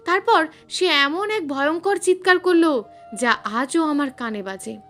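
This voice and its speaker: A woman's voice: sits high, expressive, a little slow, a bit bright, faint music behind. A woman narrating a scripted suspense story in a dramatic, emphatic storytelling manner.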